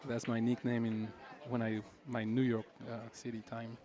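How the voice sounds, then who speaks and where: A man from France with a French accent, casually commentating a pool match and joking with his co-host, often pausing and restarting his sentences. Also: medium pitch. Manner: relaxed, joking, halting with restarts.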